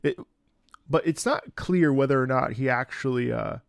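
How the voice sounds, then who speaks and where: A man doing casual live-stream commentary in a medium-pitched voice with plenty of fry. He muses analytically as he reacts. The sound is dry, with no room echo. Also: moderate pace. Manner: musing, analytical. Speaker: streamer reacting to game cutscenes.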